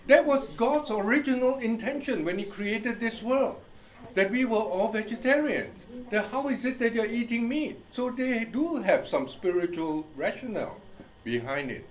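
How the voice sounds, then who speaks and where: A man with an Indian accent preaching a sermon as pastor. He reads scripture slowly and keeps checking in with "you see?" and "all right?", often chuckling. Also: high-pitched, rough recording. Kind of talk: sermon, Bible teaching.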